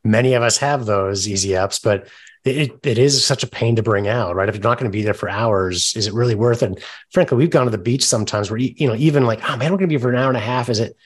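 A man's voice: medium pitch, fast talker. A man hosting a podcast, interviewing in a casual, conversational way with chatty, affirming remarks.

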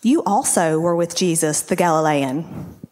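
A woman's voice: fairly low pitch, unhurried, a little mellow, close to an everyday voice. A woman reading the part of an accusing servant girl in a dramatized scripture reading. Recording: long church reverb.

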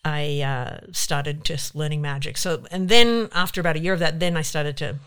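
A woman's voice: sits low, moderate pace, fairly bright tone, smooth. A woman from Australia with an accent mixing Australian and American, a guest magician chatting casually, laughing often and using many fillers. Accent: mixed Australian and American. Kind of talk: casual interview.

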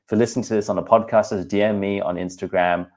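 A man's voice: fairly low pitch, steady tone, fast talker, a little mellow. A man in his thirties with an Australian accent, talking candidly and chattily to his podcast listeners as host. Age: 30s. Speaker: podcast host and personal trainer. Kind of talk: casual podcast monologue.

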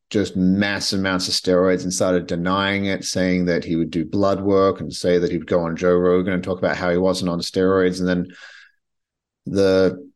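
A man hosting a podcast, talking bluntly and with strong opinions in a casual monologue, often restarting his sentences. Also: low-pitched. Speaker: podcast host.

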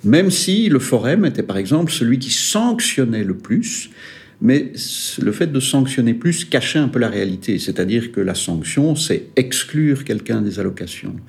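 A man, a regional director of an employers' federation, giving measured interview answers with some hesitant fillers. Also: lowish voice, creaky, darkish tone. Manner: measured, hesitant fillers, stressing key points.

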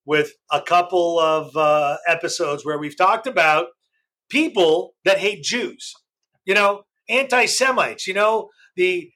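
Man, podcast host, high pitch, bright forceful tone, chatty with lots of fillers. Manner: chatty, many fillers, loud self-described big voice. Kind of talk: casual live podcast chat.

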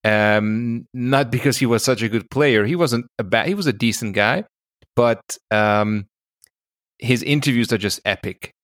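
A man's voice: mid-range voice, unhurried, a bit bright, dry recording, studio quality. A man speaking as a podcast co-host, telling stories in a casual, conversational way.

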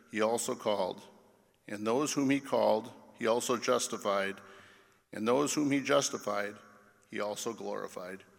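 A man's voice: mid-range voice, vocal fry, fairly bright tone. A man delivering a formal scripted sermon, reading it aloud as a preacher in church. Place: large reverberant church. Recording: long echoing church reverb.

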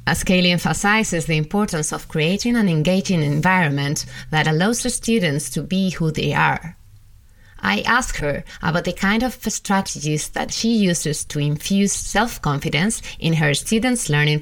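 A woman giving formal, measured scripted narration as documentary host. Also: low-pitched, vocal fry.

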